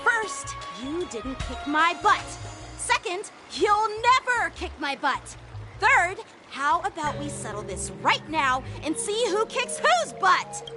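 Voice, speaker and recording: A woman's voice: very high-pitched, sing-song, slow pace, over music, exaggerated voice. A woman playing a confident, cocky teenage boy swordsman in a video game, speaking politely but with competitive bite. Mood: cocky, challenging. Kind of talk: scripted video game voice acting.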